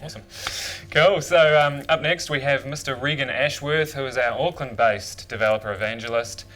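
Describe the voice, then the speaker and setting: A man's voice: medium pitch, moderate pace, bright, forceful tone. A man hosting a tech show in a studio, enthusiastic and introducing the next segment. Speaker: show host. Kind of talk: studio presenting.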